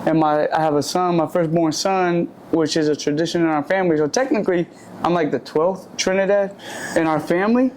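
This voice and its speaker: A man's voice: a little high, brisk, darkish tone, a little hiss or hum. A young man raised in Puerto Rico, a barber and shop owner, telling his life story in a candid, rambling way and correcting himself as he goes. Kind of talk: casual interview storytelling. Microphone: handheld or shared mic.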